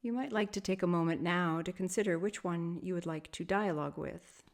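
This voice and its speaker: Low-pitched woman guiding a meditation. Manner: soft, soothing, measured with long pauses. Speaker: yoga nidra meditation teacher. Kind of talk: guided meditation.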